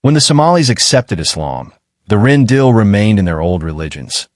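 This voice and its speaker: A man hosting and narrating a documentary, reading a measured, explanatory script and addressing viewers directly. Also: fairly low pitch, sing-song, no echo, clean studio sound.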